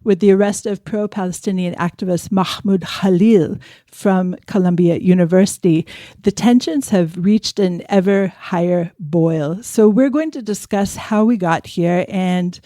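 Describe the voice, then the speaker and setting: A woman hosting a news podcast, speaking in a measured, articulate way. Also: on the low side, mellow, dry recording, clean studio sound. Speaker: podcast host.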